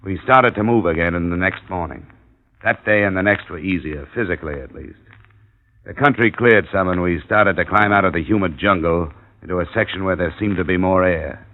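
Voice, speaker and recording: An actor playing a tough, world-weary American investigator in 1950s radio noir, terse and measured in dialogue and narration. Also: male voice, low voice, lightly acted. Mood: somber narration. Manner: terse, measured, hard-boiled. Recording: muffled, noisy old radio recording.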